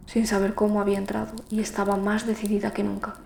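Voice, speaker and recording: A woman's voice: medium pitch, monotone, fast talker, creaky, darkish tone, echoey room, a little hiss or hum, close to an everyday voice. A young woman playing a lonely, frightened teenage girl who narrates her ordeal in an emotive audio drama. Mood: urgent, afraid. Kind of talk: dramatic first-person audio fiction narration.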